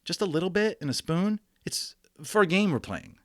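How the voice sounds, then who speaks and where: A man plays an earnest young boy in a children's storybook, easily exasperated and quick to plead. The voice is lifted high above his natural pitch and moves around a lot, recorded dry. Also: animated, no room sound. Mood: eager. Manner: pleading, remonstrating.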